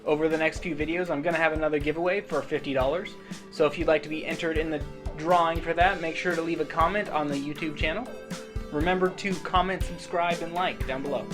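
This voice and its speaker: A man's voice: a little high, fairly even intonation, fast. Casual male show host over music. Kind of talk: casual YouTube sign-off narration.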